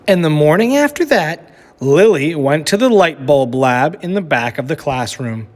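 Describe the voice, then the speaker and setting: A man reading a children's picture book aloud as a teacher, with slow, expressive storytelling. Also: a little high, big-room sound.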